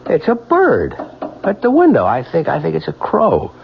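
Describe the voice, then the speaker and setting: A man playing an earnest, conciliatory husband in an old-time radio drama, speaking a little haltingly. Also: fairly high pitch, wildly swooping pitch, gravelly, full character voice. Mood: surprised, easygoing. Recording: muffled, hissy vintage radio recording.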